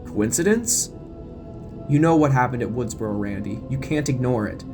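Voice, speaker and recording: A man's voice: mid-range voice, lively intonation, normal speed, music in the background, lightly acted. Man acting out a troubled, insistent young woman. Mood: urgent.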